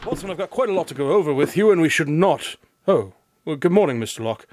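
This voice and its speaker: A man playing an impatient, pompous gentleman detective in a radio drama, speaking in formal, wordy phrases. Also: on the high side, big pitch swings, fast talker, slight background noise. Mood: brisk, dismissive.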